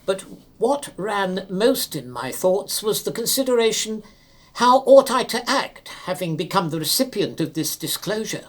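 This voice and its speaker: A man narrating a classic literary story aloud in a measured, formal and expressive dramatic reading style. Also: high voice.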